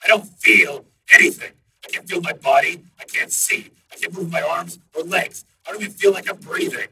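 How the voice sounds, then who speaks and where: A man voicing the synthesized voice of a male cop's mind trapped in a computer, gravelly and strained, firing insistent accusing questions. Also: sits high, moderate pace, background noise, pitched above the performer's own voice. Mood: distressed.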